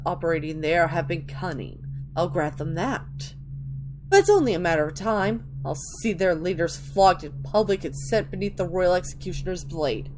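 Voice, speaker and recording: A woman's voice: lowish voice, background noise. A woman giving a theatrical dramatic reading of video game texts, stumbling over words and restarting now and then.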